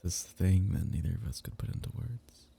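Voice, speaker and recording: A young man speaking softly and intimately in an ASMR roleplay, teasing and sometimes dropping into a whisper. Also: deep voice, a little fast, creaky, breathy edge.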